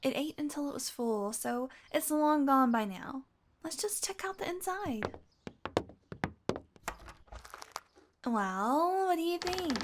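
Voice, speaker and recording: A high, girlish-pitched female healer from a fantasy audio roleplay, played by a woman. She teases and talks down to the listener as if to a clumsy pupil. Under the sweetness she is possessive, domineering and quietly menacing. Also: slight background noise. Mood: sweetly menacing. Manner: condescending, teasing, coaxing, with sighs and chuckles.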